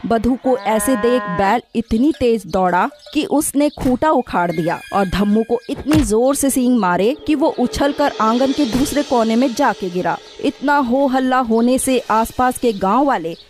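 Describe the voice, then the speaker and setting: A woman with a fairly high voice narrates a scripted story for children. She tells it as a storyteller, with music playing underneath. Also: animated, a little slow, few pauses. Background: background music.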